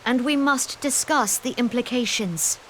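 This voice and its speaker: A woman voicing a composed, authoritative counsellor in a fantasy game cutscene, speaking in a measured, formal, deliberate way. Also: on the high side, slight background noise, close to an everyday voice. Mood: grave, assured. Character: composed, authoritative woman counsellor briefing allies on imperial politics.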